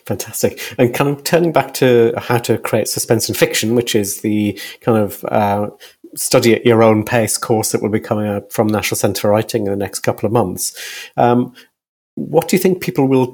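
A man interviewing a guest in a conversational way, haltingly rephrasing his questions. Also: lowish voice, a little mellow, slightly rough. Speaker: podcast interviewer.

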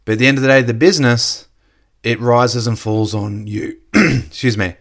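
A man with a slightly croaky voice giving a casual motivational business talk, full of "you know" fillers. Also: mid-range voice, fairly even intonation, normal speed. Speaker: network marketing coach. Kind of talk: motivational solo podcast talk.

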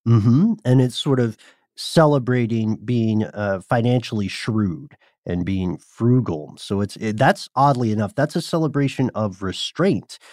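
Lively Southern American podcast man. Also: mid-range voice, a little slow, dry recording, clean studio sound. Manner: lively storyteller, acts out quotes. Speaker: podcast co-host. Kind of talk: casual podcast conversation.

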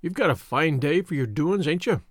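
A man's voice: a little high, lively intonation, moderate pace, no echo, studio quality. A man voicing a grave, superstitious old shepherd with rustic English dialect, in a storybook reading. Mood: genial. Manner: dialect speech, solemn warnings.

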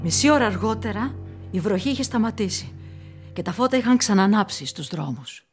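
An actress playing an awed, theatrical narrator in a horror radio play, with an incantatory manner. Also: female voice, medium pitch, lively intonation, faint music behind, natural voice. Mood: grave, awed. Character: awestruck female horror narrator turning feverish and possessed.

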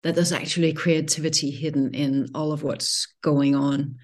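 Danish-accented woman guest, deep for a woman, reflective, halting delivery. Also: steady tone. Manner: reflective, hesitant, repeats words. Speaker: podcast guest. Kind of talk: conversational panel discussion.

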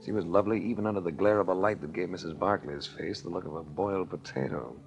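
An actor playing a cool, hard-boiled private investigator in a 1940s radio show, wry and terse, on a noisy vintage recording. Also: male voice, sits low, fast, gravelly. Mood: wry narration. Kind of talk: 1940s radio detective acting and narration.

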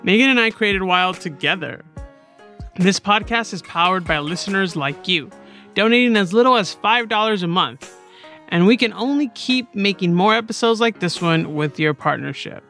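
American woman co-host, mid-pitched, scripted podcast sign-off over light music. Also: expressive, normal speed, a bit bright.

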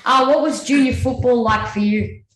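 A woman's voice: on the high side, normal speed. A woman asking interview questions briefly, with short encouraging backchannels. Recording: roomy echo.

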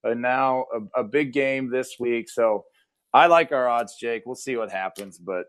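A man's voice: medium pitch, a little slow, a bit bright. A football coach with a scratchy voice, chatting upbeat and casual about his team on a regular sports segment.

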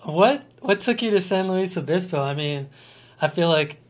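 A man's voice: high-pitched, animated, moderate pace. A middle-aged man from Colorado hosting a podcast in casual, enthusiastic chat. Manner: enthusiastic, laughs easily. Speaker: podcast host.

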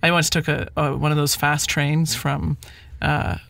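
A man with a Canadian accent hosting a casual interview, thinking aloud in halting, conversational questions and asides. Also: fairly high pitch, little pitch movement, creaky, a bit bright, dry recording. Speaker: interviewer, host.

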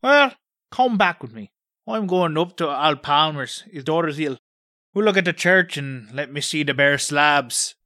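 Irish doctor, storybook character, bluff, mocking, emphatic, high and sharp, close dry sound. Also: male voice, animated, a little slow, no room sound. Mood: amused, teasing. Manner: emphatic, scolding, forthright. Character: bluff, hearty, mocking raw-boned Irish country doctor. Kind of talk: audiobook character voice.

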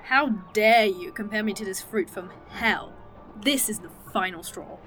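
A woman's voice: mid-range voice, a bit bright, faint music behind, lightly acted. An actress playing a snarky, teasing woman time traveler with a fake arm in an indie audio drama. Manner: dry, teasing, quick-witted.

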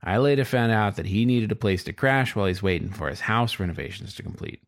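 A man's voice: fairly low pitch, lively intonation, fast talker. A man voicing a sly, frustrated male fox who narrates his story in a wry, confiding, colloquial way, as in an audiobook.

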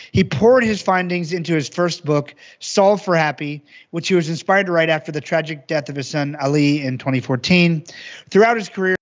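A male podcast host with a somewhat raised pitch reads a guest introduction and then chats. He sounds enthusiastic and stumbles now and then, repeating words. The sound is dull and lo-fi. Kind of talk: reading a guest bio, then conversational questions.

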